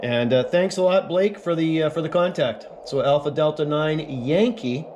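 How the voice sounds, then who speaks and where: A man from Canada casually explaining a ham radio Morse contact, relaxed and full of fillers, recorded on an action camera at home. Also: a little high, normal speed, highs cut off. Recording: noisy camera audio with Morse tones.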